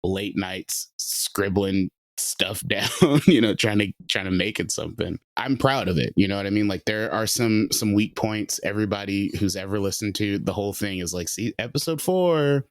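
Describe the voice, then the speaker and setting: A male writer chats casually in a podcast interview, peppering his talk with "like" and "you know". His voice sits low. The sound is dry and close. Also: normal speed, few pauses, no echo.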